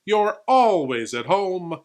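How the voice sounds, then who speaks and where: Fairly high-voiced man presenting as horror host. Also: sing-song, slow. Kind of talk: scripted presenter commentary.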